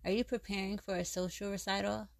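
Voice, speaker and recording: A woman's voice: mid-range voice, fairly even intonation, fast talker, gravelly, no room sound, close to an everyday voice. A woman reading the lines of a stern, prim older female piano teacher in a storybook. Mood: disapproving. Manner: prim and disapproving.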